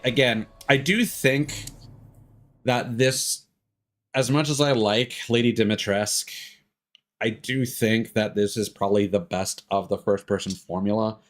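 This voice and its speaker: A man giving casual, opinionated, sweary commentary as he streams a game live. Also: lowish voice.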